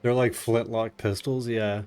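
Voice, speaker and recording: A man's voice: on the low side, level tone, a little slow, a little mellow. A man giving casual live commentary on a speedrun in a jokey, sarcastic way. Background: video game music.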